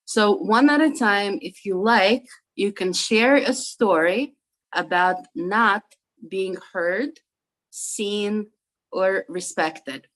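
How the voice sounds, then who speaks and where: A woman's voice: mid-range voice, takes it slowly, fairly bright tone, low-quality recording. A woman hosting an online discussion group over a video call, explaining things in a friendly way with frequent "um"s and "uh"s.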